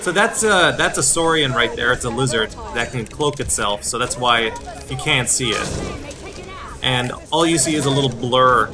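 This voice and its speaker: A man hosting a gaming video, explaining as he plays in casual commentary with a halting delivery. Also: mid-range voice, over music.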